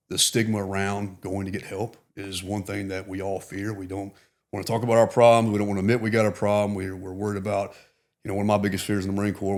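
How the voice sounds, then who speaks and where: A middle-aged man, a retired Marine, talking earnestly and reflectively as a podcast guest, with many "you know" fillers. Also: low voice. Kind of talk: casual interview.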